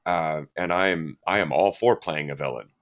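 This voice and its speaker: A middle-aged man with a notably low voice answers interview questions in a casual, reflective way. He talks thoughtfully, with frequent fillers and restarts as he searches for the right words. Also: normal speed. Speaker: guest actor.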